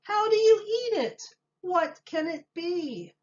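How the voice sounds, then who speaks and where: A woman voicing puzzled, excitable storybook townspeople, exclaiming questions. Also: very high-pitched, slow, lo-fi sound, voice pushed up, full character voice.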